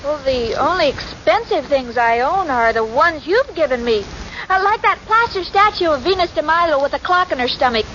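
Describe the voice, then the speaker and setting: An actress playing a naive, sweet, scatterbrained young secretary in 1940s radio comedy, with an earnest, hesitant manner. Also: female voice, sits high, lively intonation, normal speed, fairly bright tone. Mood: sweetly naive. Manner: earnest, dim, hesitant, muddles sayings. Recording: noisy, muffled 1940s radio recording.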